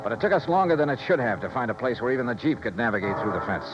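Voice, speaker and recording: An American man playing a tough, quick-witted, wry and wary private eye in a 1950s radio detective drama. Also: medium pitch, sing-song, music in the background, natural voice. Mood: wry narration. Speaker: lead actor and narrator. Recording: noisy, muffled 1950s radio recording.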